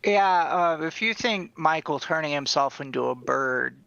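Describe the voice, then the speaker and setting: A man with a bright, gravelly voice banters as a comedy panelist. His pitch swings widely and he takes his time over the jokes. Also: animated, measured pace, lo-fi sound. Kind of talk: casual joking banter.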